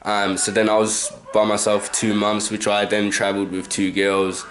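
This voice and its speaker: Young Australian man, vlogger, slightly low voice, rambling, self-correcting, handheld camcorder at home. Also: completely flat delivery, normal speed, background noise. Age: 20s. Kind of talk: casual story-time monologue. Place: his own house, indoors.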